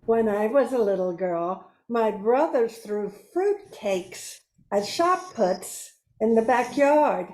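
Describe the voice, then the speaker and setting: Woman playing a slow, nostalgic fruitcake customer. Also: a little high, lively intonation, darkish tone. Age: older. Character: nostalgic, excited woman giving a fruitcake testimonial. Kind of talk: amateur radio play acting. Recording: roomy, echoing sound.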